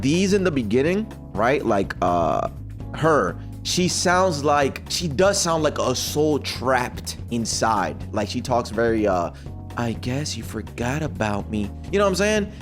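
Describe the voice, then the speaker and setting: A man giving casual, slangy reaction commentary as a streamer, conversational and quick to exclaim. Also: mid-range voice. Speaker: streamer reacting to game voice lines.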